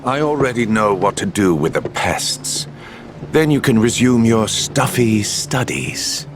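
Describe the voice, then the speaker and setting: Slow, swooping suave gambler villain. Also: male voice, on the low side, expressive, music in the background. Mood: cool, guarded. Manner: genteel, unhurried, smugly theatrical. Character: suave, calculating male gambler villain in a dark organization. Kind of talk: video game cutscene acting.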